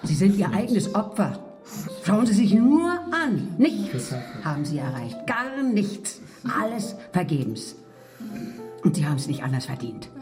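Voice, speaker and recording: A woman's voice: mid-range voice, sing-song, a little slow, darkish tone, faint music behind. A woman delivering a theatrical monologue straight at the listener in a scornful, berating manner. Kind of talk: theatrical monologue addressing the audience.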